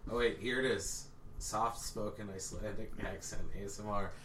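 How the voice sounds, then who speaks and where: A man's voice: fairly low pitch, steady tone, moderate pace, a bit bright, slight background noise. A young man co-hosting a comedic podcast, goofy and playfully insistent. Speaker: podcast co-host.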